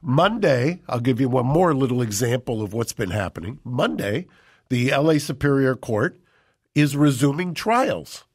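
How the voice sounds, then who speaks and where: An older man co-hosting a podcast in a studio, talking into a boom mic, indignant and full of rhetorical questions. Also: expressive, normal speed, breathy edge. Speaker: co-host. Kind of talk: casual podcast talk. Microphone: studio boom mic with headphones.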